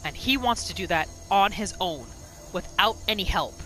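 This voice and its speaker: Casting: female gameplay storyteller, playful and casual, very animated intonation, bright tone. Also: medium pitch, moderate pace, a little hiss or hum, rough recording. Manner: expressive, playful. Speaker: gaming channel host.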